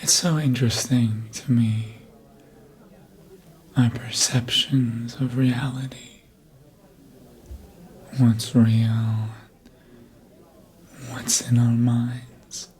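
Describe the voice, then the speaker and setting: Someone acting a very slow, breathy, seductive vampire. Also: mid-range voice, flat delivery, a little mellow. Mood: smug, hypnotic. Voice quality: breathy, often whispering. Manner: slow, deliberate, hypnotic, with long pauses. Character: seductive vampire, manipulative mind-controller, calm and menacing. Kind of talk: ASMR roleplay, whispered and soft-spoken. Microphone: close ASMR microphone.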